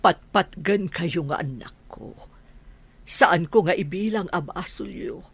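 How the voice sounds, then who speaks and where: A woman playing a sick, long-suffering older mother in an Ilocano radio drama, with a husky, breathy voice. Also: lowish voice, takes it slowly, a bit bright, no echo, exaggerated voice. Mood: weak, pained.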